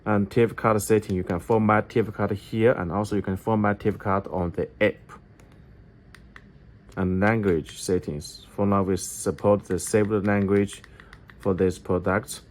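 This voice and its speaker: A man giving a step-by-step product tutorial in halting English, often repeating his phrases. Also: on the low side, steady tone. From China. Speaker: product demonstrator for an electronics company.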